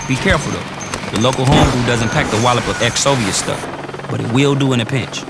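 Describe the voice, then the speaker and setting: An actor playing a brash, wisecracking male military briefing officer, with slangy patter over loud background noise. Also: mid-range voice, brisk, lightly acted. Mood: upbeat. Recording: loud noise under game audio.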